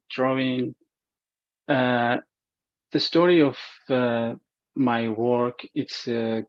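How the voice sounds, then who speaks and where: A slow-talking man introduces his paintings in a level, even voice, pausing often to find his words. The sound is dry and a bit muffled, as if captured on modest equipment in a gallery. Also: mid-range voice, no echo. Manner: halting, searching for words, many fillers. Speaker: visual artist. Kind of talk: speaking at an art show.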